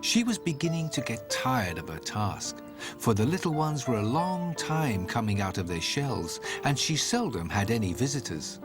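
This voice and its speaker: A man narrates a storybook tale in a theatrical, highly animated voice. His pitch moves freely as he shapes the phrases, and music plays under him. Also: moderate pace. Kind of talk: scripted storybook narration with dramatic delivery.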